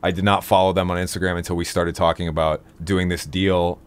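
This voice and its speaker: A man in his thirties with a notably low voice, chatting casually and enthusiastically on a video call from his home studio, laughing often. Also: level tone, a little fast, clean tone. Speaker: streamer and singer, host of the stream. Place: small home studio with purple lights. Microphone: studio mic with headphones.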